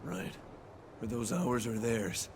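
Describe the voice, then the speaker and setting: A man's voice: mid-range voice, lively intonation, slow, gravelly, background noise. A man playing a dazed, earnest army lieutenant in an audio drama. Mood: wary.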